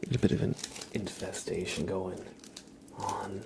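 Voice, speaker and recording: A man's voice: deep voice, fairly even intonation, measured pace, creaky, noisy background. A man hosting a live ASMR stream, chatting to viewers with playful, murmured role-play patter. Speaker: livestream host.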